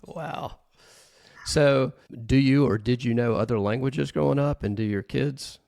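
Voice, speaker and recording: A man's voice: medium pitch, measured pace, gravelly, a little mellow. A man hosting an interview, asking questions hesitantly and repeating his words. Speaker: podcast host.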